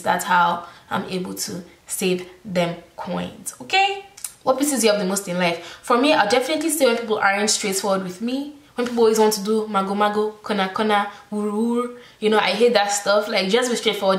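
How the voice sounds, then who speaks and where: A woman's voice: mid-range voice, roomy sound. A woman in her twenties with a Nigerian accent, chatting casually to camera in a bedroom. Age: 20s. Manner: chatty, expressive, peppered with "like". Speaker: YouTuber. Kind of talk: casual Q&A vlog. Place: bedroom, indoors.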